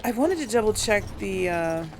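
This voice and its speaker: A woman's voice: medium pitch, very animated, moderate pace, creaky, a little mellow. A woman giving casual game commentary, thinking aloud in a hesitant way. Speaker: video game player narrating a role play. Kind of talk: casual let's play commentary.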